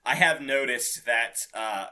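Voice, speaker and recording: A man's voice: mid-range voice, slow pace, bright, forceful tone. A man co-hosting a podcast in casual gaming chat, with a giggly, swearing manner. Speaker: podcast co-host.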